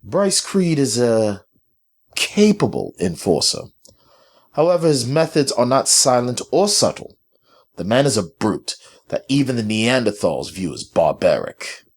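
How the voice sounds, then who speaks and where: A man's voice: medium pitch, very animated, measured pace, pitched above the performer's own voice. A man voicing a cold, pompous, irritable master who speaks formally and with authority in a story. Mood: scornful.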